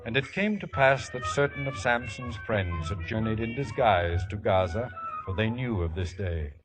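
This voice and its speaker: An actor playing a grave scriptural storyteller, narrating a 1950s radio drama in solemn biblical cadence. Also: male voice, fairly low pitch, animated, normal speed, natural voice, poor audio. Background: music.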